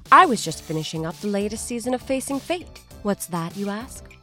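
A woman's voice: medium pitch, a bit bright. A woman hosting a podcast, reading a playful scripted promo in a theatrical, mock-casual way.

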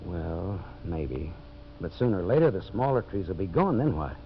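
Brusque, scheming American timber man, 1950s radio style, animated delivery, muffled sound. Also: low-pitched, normal speed. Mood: guarded. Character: brusque, evasive male lumberman, hard-headed and scheming. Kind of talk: 1950s radio drama acting. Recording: noisy, muffled vintage radio recording.

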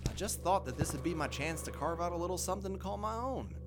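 An actor playing Neil, an eager young worker who stammers and gets excited in an audio drama. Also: male voice, sits high, quick pace, loud music behind the voice. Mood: deflated. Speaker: field worker.

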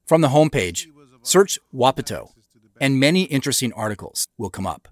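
Guy doing director's commentary, scripted n explanatory, lively intonation, close dry mic no room sound. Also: medium pitch, brisk, no echo. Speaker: show creator and commentator.

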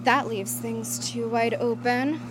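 A haughty actress in her early thirties, speaking slowly in a fairly high voice. She is spoiled and condescending in an audio drama style, with music clearly behind her. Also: fairly even intonation. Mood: curt, haughty. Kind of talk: naturalistic audio drama acting.

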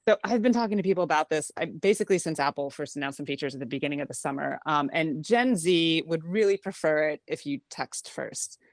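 A woman's voice: low-pitched, expressive, fairly quick, hardly any pauses, no room sound. A woman tech reporter, a radio guest, talking in a chatty, wry way and laughing easily. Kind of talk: radio interview.

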